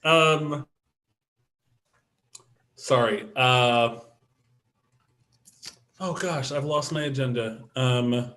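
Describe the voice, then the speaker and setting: A man chairing a city council meeting, moving briskly through the agenda with light asides. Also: medium pitch, a bit bright.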